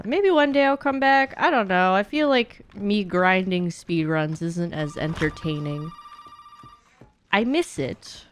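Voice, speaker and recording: A woman's voice: lowish voice, slight background noise. A young adult woman giving casual, blunt, sweary live-stream commentary while speedrunning a game. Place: small indoor streaming room at home.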